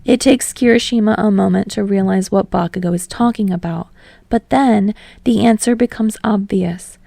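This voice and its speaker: A woman's voice: medium pitch, moderate pace, gravelly, dark tone, dry recording. A woman reading scripted fiction aloud as an audiobook narrator.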